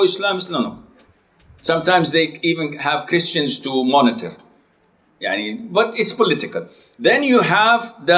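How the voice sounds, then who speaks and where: Middle-aged male lecturer, a bit high-pitched, talks to the class in a chatty, self-correcting way. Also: fairly bright tone. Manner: conversational, self-correcting, asks the class questions. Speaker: Islamic lecturer.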